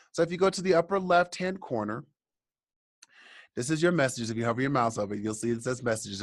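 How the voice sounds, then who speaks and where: A man presenting a software tutorial, explaining each step and speaking directly to the viewers in an instructional way. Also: medium pitch, dry recording, studio quality. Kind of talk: software tutorial walkthrough, semi-scripted explanation.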